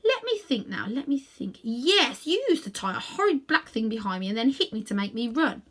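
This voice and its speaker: Woman voicing a slow, muddled, dreamy talking horse. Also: a little high, very animated, a bit bright, voice pushed up. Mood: slowly remembering. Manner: slow, thoughtful, hesitant, repeating himself. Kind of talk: children's storybook character reading.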